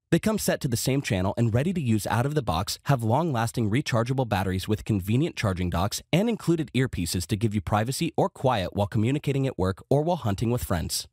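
A man's voice: mid-range voice, a little mellow, husky, no room sound, studio quality. A man reading scripted product review narration with a polished, promotional delivery.

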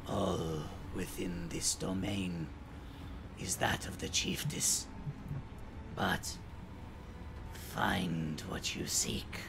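A man voicing a solemn female seer who makes cryptic, formal pronouncements in a breathy, gravelly half-whisper. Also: deep voice, fairly even intonation, extremely slow, long pauses, background noise, voice pushed down, full character voice. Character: ancient-sounding, solemn female seer speaking in prophecy. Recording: music behind the voice.

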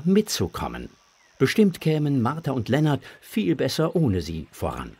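A male narrator tells a children's story with a very animated melody. His voice is husky and mid-pitched, and his delivery is expressive.

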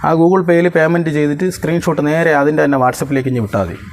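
A man's voice: on the high side, fairly quick. A middle-aged man, explaining things in an interview in an emphatic, repetitive way. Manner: emphatic, repeats points insistently. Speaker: interviewee, homeowner organizing a lucky draw.

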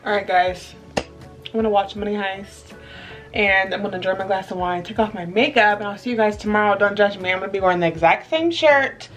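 A woman's voice: mid-range voice, fairly quick, music in the background. A young woman talking breezily to a handheld camera at home, chatting casually and laughing often. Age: young adult. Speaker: vlogger. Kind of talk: casual vlog chat to camera. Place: home kitchen and bedroom indoors. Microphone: handheld camera at arm's length.

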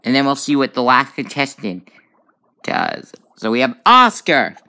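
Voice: mid-range voice, big pitch swings, measured pace, pushed and bright. A gamer giving casual let's play commentary on a tablet game, reacting aloud with frequent exclamations. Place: indoors at a tablet.

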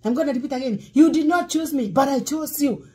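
A woman's voice: high voice, fairly quick, warm tone. A middle-aged woman pastor, preaching while cooking in her home kitchen, breaking into song now and then. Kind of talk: cooking demo sermon. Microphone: fixed camera across counter.

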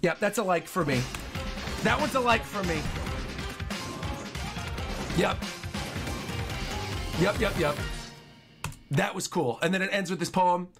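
A man's voice: high voice, slow pace, long pauses. A young man giving enthusiastic, chatty live reaction commentary as a streamer, often repeating his phrases. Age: young adult. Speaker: streamer reacting to game trailers. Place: small bedroom, indoors. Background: trailer music.

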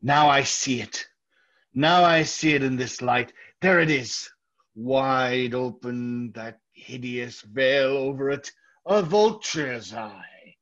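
A man's voice: mid-range voice, slow, fairly bright tone. A man playing a nervous, obsessive murderer confessing in an old-time radio horror style, intense and lingering over words. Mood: obsessed. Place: indoor home room, group video call. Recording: dull sound, music underneath.

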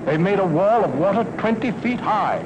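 A man's voice: sits high, animated, moderate pace, lo-fi sound, heavy background noise. An American man giving folksy, emphatic scripted narration in the manner of a mid-century educational film. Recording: old film soundtrack with music.

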